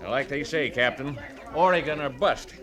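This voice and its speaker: An actor playing a hearty, plain farmer who leads a wagon train in an old-time radio western. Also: male voice, medium pitch, normal speed, natural voice. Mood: hearty, busy. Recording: old radio drama, busy crowd effects behind.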